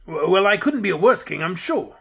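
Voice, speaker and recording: A modest gnome courtier from a children's storybook, voiced by a man, fairly high for a male. He is obliging and deferential, a little hesitant in his answers. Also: very animated, a little fast, vocal fry, fairly bright tone, breathy edge, heavily acted. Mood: humble. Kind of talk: storybook character voice.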